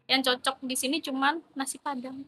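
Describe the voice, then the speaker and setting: A woman's voice: sits high, flat delivery, a little fast, sharp tone, slight background noise. A young woman from East Java with an East Javanese Indonesian accent, chatting animatedly about student life. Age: young adult. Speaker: vlogger, university student. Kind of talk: casual chat.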